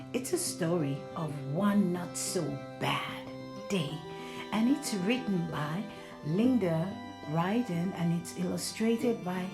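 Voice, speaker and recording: A woman storyteller reading a children's book aloud, addressing young listeners affectionately. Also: lowish voice.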